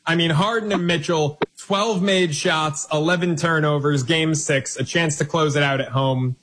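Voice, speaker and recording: Husky, slightly high-voiced male betting host. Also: fairly even intonation, fairly bright tone. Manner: brisk, bantering, numbers-heavy. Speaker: sports betting radio host. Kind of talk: live sports betting radio hosting.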